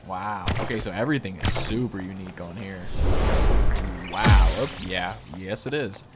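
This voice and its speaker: Low-voiced young man, casual gaming commentary. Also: moderate pace. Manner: informal, rambling, easily impressed. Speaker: gaming video host. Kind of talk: casual game showcase commentary. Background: game music.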